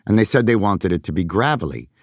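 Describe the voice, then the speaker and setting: A man's voice: fairly low pitch, sing-song, fast talker, no echo, clean studio sound. A middle-aged man, a voice actor, musing on his craft in a podcast monologue and slipping now and then into character voices.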